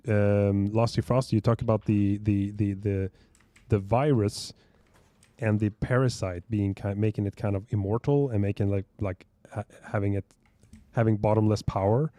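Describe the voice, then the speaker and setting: A man's voice: on the low side, steady tone, normal speed, mellow, no echo. A young man chatting on a livestream as host, rambling and reading out viewer questions. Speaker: stream host. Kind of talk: casual livestream chat.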